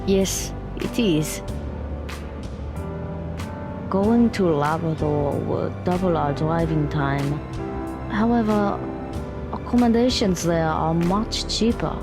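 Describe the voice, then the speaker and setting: Woman playing a blunt, practical young woman, unhurried. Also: lowish voice, expressive, over music. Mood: matter-of-fact. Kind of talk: audio drama acting.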